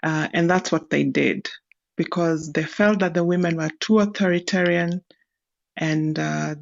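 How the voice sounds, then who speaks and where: Slow-spoken woman guest. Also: deep voice, fairly even intonation, gravelly. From Kenya. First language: Luo. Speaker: guest speaker. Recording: lo-fi streamed audio.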